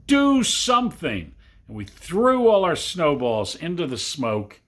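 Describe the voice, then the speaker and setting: A man reading a literary story aloud to children, with dramatic, deliberate phrasing and long pauses. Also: fairly high pitch, big pitch swings. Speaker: reader. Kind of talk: expressive storybook narration for children.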